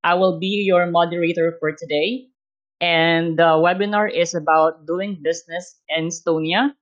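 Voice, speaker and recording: A woman moderating a webinar in a halting, careful way, giving introductions and housekeeping. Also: lowish voice, fairly even intonation. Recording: dull lo-fi online call.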